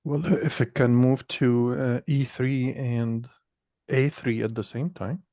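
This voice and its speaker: A man's voice: medium pitch, fairly even intonation, unhurried, warm tone, no room sound. A man thinking aloud casually as a learner, hesitant and full of questions about the rules.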